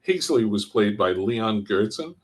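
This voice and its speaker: Guy reading out show credits, lowish voice, scripted announcer read. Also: animated, a little slow. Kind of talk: scripted narration.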